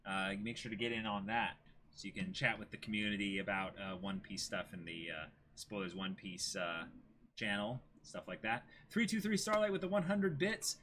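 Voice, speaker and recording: A young man hosting a livestream, chatting casually and reading aloud in an animated way. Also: fairly low pitch, moderate pace, slight background noise. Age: young adult. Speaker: stream host. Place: small indoor room with backdrop.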